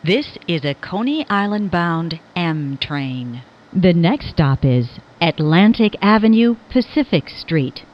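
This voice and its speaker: Slow, dull-sounding woman announcing train stops. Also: lowish voice, very animated. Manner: measured, clearly enunciated, formal. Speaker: automated subway train announcer. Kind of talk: scripted transit announcement.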